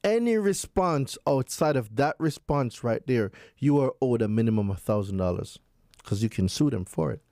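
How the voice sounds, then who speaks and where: A man explains things to a host with a confident, plainspoken manner. His voice is mellow and dark, and the sound is dry. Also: mid-range voice, animated, normal speed, dry recording. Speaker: guest credit expert. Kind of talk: casual explaining.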